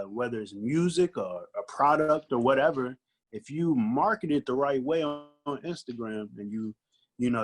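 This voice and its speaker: A man with a mid-range voice talks casually as a podcast guest. He is colloquial and works out his points as he goes, leaning on little conversational tags. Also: mid-range voice. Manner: colloquial, thinks aloud with repeated "like" and "you know". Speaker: guest recording artist. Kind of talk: casual chat, podcast discussion.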